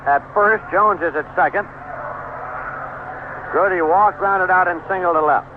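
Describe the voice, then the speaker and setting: A man calling baseball play-by-play on the radio in measured, descriptive short phrases. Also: high-pitched. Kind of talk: live radio sports commentary. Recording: noisy, tinny vintage 1970s AM radio broadcast.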